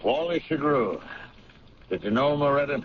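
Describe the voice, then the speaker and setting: Skeptical police captain, played by a man: medium-pitched, wry and clipped, radio-play delivery on dull, faintly noisy tape. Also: lively intonation, moderate pace, lightly acted. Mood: respectful. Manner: wry, clipped cop talk. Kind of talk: radio drama acting. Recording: muffled, noisy old radio drama recording.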